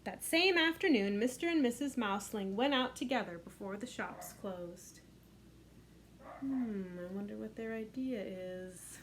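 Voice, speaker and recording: A middle-aged woman, a children's librarian, reading a picture book aloud at storytime in a gentle, expressive voice with sing-song lifts. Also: medium pitch, measured pace, a little hiss or hum, rough recording.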